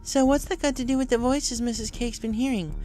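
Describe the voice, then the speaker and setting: A man's voice: very high-pitched, fairly even intonation, fairly quick, darkish tone, soft background music, voice pushed up. A man voicing a bookish, inquisitive young female pony in a storybook reading. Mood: earnest, questioning.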